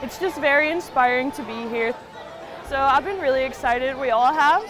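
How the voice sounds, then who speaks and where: A woman's voice: high voice, animated, fairly quick, over music, a crowd in the background, rough recording. A woman from Denmark, speaking enthusiastically in an outdoor interview. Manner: enthusiastic, gushing. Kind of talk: interview soundbite.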